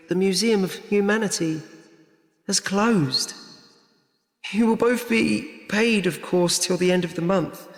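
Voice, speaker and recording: Radio drama: male actor as hesitant, formal boss, notably high voice, very echoey space. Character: apologetic, formal museum manager breaking bad news. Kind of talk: radio play acting. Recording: echoey hall with long reverb.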